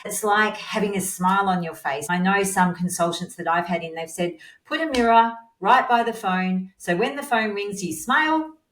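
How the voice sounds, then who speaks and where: A woman's voice: medium pitch, normal speed, hardly any pauses, fairly bright tone. A woman hosting a podcast, chatting casually as she interviews, recorded in an echoey room. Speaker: podcast host. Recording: echoey, reverberant room sound.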